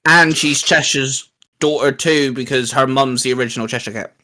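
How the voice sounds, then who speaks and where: A man's voice: medium pitch, moderate pace, sharp tone. A man chatting casually as co-host of a geeky fan show, explaining things informally and at length. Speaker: co-host of a geek-culture discussion show. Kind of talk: casual fan chat about comics.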